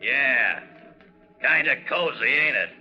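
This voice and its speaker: An actor playing a wry, laconic ship's mate, sardonic and slangy, in a 1940s radio drama. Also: male voice, medium pitch, expressive, takes it slowly, soft background music. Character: wry, weary ship's second mate held captive. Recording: muffled 1940s radio recording.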